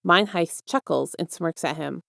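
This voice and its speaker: A woman's voice: low-pitched, unhurried, creaky, bright, forceful tone, dry recording, studio quality. A woman reading scripted fiction aloud as the narrator of an audio story. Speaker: podfic narrator.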